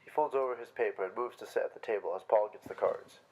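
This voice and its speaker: A man's voice: on the low side, fast talker, a little mellow, a little hiss or hum, poor audio. A man reading stage directions aloud as scripted narration at a staged play reading.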